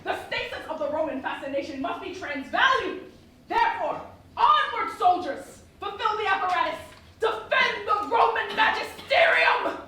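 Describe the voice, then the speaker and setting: A woman's voice: very high-pitched, sing-song, normal speed, sharp tone, heavily acted. A woman acting a pompous Roman officer in florid, declaimed oratory in a staged theatrical reading. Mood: pompous, commanding. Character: bombastic, pompous Roman officer rallying soldiers. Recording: very echoey hall from far, faint noise.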